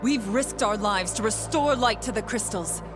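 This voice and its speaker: A woman's voice: medium pitch, normal speed, close to an everyday voice. An actress playing a proud, dignified woman warrior in a video game, with formal delivery. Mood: indignant, defiant. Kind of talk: dramatic video game acting. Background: game music.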